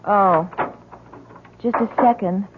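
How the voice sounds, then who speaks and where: Young female student, played by an actress, tense and stubborn, mid-pitched, for a vintage radio drama with muffled sound. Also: slow pace, heavy background noise, natural voice. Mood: wary, annoyed. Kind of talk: 1970s radio drama acting. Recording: muffled old radio recording.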